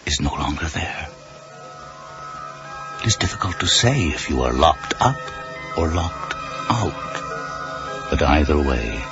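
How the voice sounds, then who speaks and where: Very deep, ominous narrator. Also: male voice, heavily acted. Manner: grave, deliberate, foreboding. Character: ominous, solemn male narrator of eerie tales. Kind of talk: scripted radio drama narration. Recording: dull 1980s radio recording with music.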